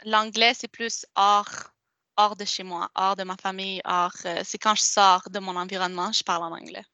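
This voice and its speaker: Young Québécois woman, bright and even. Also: medium pitch, moderate pace. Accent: Québécois French. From Montreal, Quebec. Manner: hesitant, many restarts and fillers. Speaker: interviewee. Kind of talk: casual personal storytelling.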